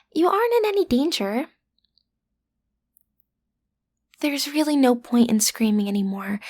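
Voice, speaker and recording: A cute, high-pitched teenage girl, acted by a woman, plays at being a supervillain in a roleplay drama. She is sassy and theatrical, speaking straight to her listener. Her menace is playful and rough around the edges, with an awkward, hesitant streak. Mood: smug, casual. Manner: theatrical, sassy, hesitant when breaking character.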